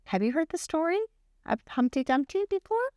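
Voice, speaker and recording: A woman school principal reading a storybook aloud to children in an expressive, questioning way. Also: high voice, brisk, no room sound, studio quality. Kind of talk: read-aloud storytelling with asides and questions to young listeners.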